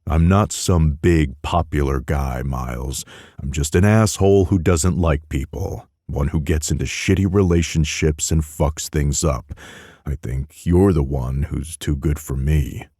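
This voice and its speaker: A man's voice: a bass voice, unhurried, warm tone, husky, dry recording, clean studio sound, exaggerated voice. A man voicing a blunt, self-deprecating young roommate. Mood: dry.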